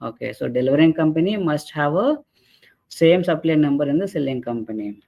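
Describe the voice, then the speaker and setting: A man presenting an explanatory software training webinar in a halting way, often checking in with short tag questions. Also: fairly high pitch, animated, creaky. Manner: halting, repeatedly checks with "right?" and "okay". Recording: dull lo-fi webinar audio.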